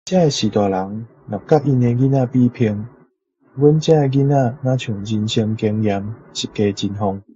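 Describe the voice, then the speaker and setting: 沙啞暗沉的台灣腔男聲. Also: male voice, fairly low pitch, normal speed, mellow, breathy edge, dry recording. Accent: Taiwanese. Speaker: language teacher. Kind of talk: reading out a translation. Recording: dull lo-fi recording.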